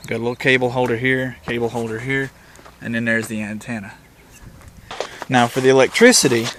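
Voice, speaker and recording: A man with a medium-pitched voice presents a casual how-to demonstration. He talks in plain, colloquial language, explaining each step as he goes without a script. The recording carries faint background noise. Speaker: presenter of a hands-on installation guide.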